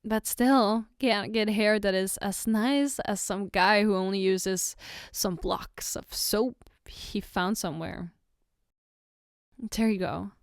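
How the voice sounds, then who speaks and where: A woman playing a caring, playful girlfriend in an ASMR roleplay, gently reassuring and patiently explaining as she gives soft personal attention. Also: medium pitch, takes it slowly, clear, resonant tone, no room sound, clean studio sound. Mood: gentle, reassuring. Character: caring, playful girlfriend doing her partner's makeup.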